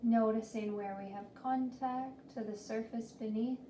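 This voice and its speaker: A woman leading a guided body scan meditation in a gentle, soothing, deliberate manner with long pauses. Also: fairly high pitch, steady tone. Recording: roomy, distant mic with faint noise.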